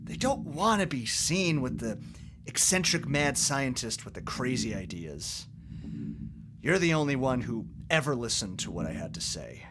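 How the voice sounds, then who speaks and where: A man playing an eccentric, lonely mad scientist and loyal friend in an amateur audio drama, excitable and halting when he confides. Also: medium pitch, background noise, lightly acted. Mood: earnest, confiding.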